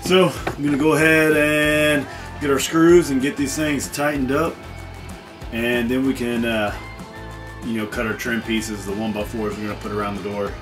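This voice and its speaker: A man in his thirties casually talking through a home building project, relaxed and rambling with lots of fillers and restarts. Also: medium pitch, normal speed, music in the background. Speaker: DIY vlogger. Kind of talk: casual how-to narration. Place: tiny house interior and outdoor deck.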